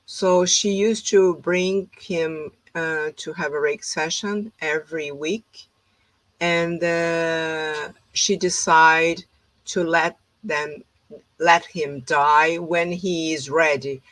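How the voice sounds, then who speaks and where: Slow-spoken Brazilian woman host. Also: low-pitched, fairly even intonation, fairly bright tone, dry recording, poor audio. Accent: Brazilian Portuguese. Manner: hesitant non-native English with many fillers. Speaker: host, holistic therapist. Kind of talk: informal conversation.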